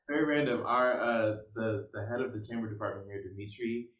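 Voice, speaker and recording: A man's voice: on the low side, steady tone, fairly quick, a bit bright. A young adult man with an American accent, giving conversational interview answers as a music student. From Oklahoma, United States. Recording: echoey room, some background noise.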